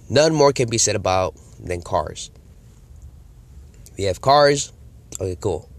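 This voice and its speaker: A man's voice: on the low side, expressive, takes it slowly, pauses often. A man with a cold and a slightly nasal voice, coaching on sales in a casual talk, acting out customer and salesperson lines. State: congested from a cold. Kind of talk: casual instructional talk to camera.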